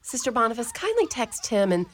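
Woman playing a brisk, motherly mother superior. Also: fairly high pitch, sing-song, slight background noise. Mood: commanding. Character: elderly mother superior, woman, stern yet tender. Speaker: community radio play actor. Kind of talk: community radio play acting.

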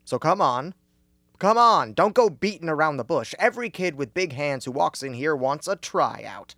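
Guy doing a exasperated american ballclub boss, brusque and slangy, kinda high voice, dry sound, radio comedy. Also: animated, moderate pace, fairly bright tone, no echo. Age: young adult. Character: gruff, impatient, exasperated American baseball team boss.

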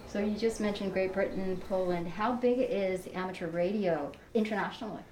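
A woman's voice: mid-range voice, moderate pace, darkish tone, far from the mic. Woman interviewer, echoey and off-mic. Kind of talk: conversational interview questions. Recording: echoey, off-mic, faint background noise.

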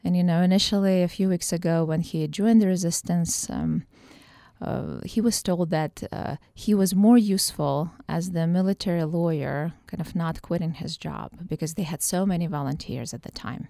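Woman from Ukraine, professor guest, lowish mellow voice, dry close sound, hesitant with fillers. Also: normal speed, vocal fry, no echo. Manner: thoughtful, with many hesitations and fillers. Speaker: political science professor guest. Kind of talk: radio interview answers.

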